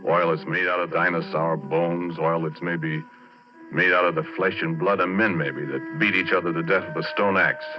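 An actor playing a retired, middle-aged oil-field roughneck, telling his story in colloquial, conversational 1940s radio drama style. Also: low-pitched, fairly even intonation, normal speed, over music, close to an everyday voice. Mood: musing, ominous. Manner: colloquial, conversational, addressing the listener directly. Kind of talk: 1940s radio drama first-person narration. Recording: muffled vintage 1940s radio recording.

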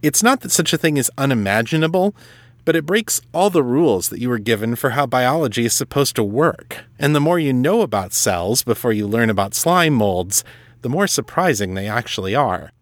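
A man hosting a solo podcast, reading and answering listener letters in an articulate, measured, explanatory way. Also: mid-range voice, lively intonation, no room sound. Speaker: podcast host and novelist.

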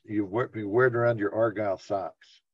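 A man's voice: fairly low pitch, steady tone, brisk. A man chatting casually as he guesses words on a panel segment. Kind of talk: casual chat. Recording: distant mic, dull sound.

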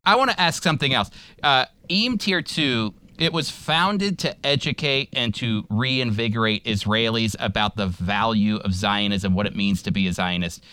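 A man's voice: lowish voice, normal speed, bright, forceful tone, smooth. A man hosting a radio interview, asking questions earnestly and enthusiastically. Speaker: radio show host.

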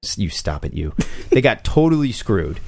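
A man's voice: lowish voice, normal speed. A man co-hosting a comics podcast, chatting casually in a sarcastic, joking way. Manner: animated, sarcastic, jokey. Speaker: podcast co-host. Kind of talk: casual chat.

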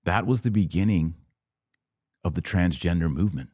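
A man's voice: sits low, normal speed, darkish tone, slightly rough, dry recording, clean studio sound. An American man hosting a podcast, giving informal, opinionated religious commentary in an emphatic, conversational way with rhetorical asides.

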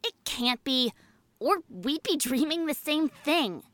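Very high-voiced playful young girl. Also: expressive, takes it slowly, fairly bright tone, no echo, exaggerated voice. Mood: playful, teasing. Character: playful teasing young schoolgirl. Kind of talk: family audio drama acting.

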